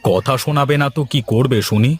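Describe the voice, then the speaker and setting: A man playing a blustering, quick-tempered village fish-farm boss in a cartoon, with a domineering manner. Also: medium pitch, husky, faint music behind, natural voice. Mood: angry, threatening. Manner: scolding, domineering. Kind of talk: cartoon moral-tale acting.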